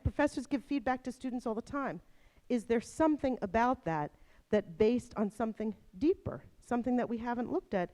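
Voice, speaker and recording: Woman with a New Jersey accent, presenting. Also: mid-range voice. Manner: lively, keeps asking "right?". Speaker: workshop moderator. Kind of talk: presenting to a room of staff. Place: university conference room.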